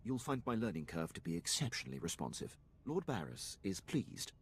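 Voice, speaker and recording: A man's voice: on the low side, big pitch swings, brisk, slight background noise. A man playing an eager, deferential Imperial military officer in a video game, speaking formally and precisely.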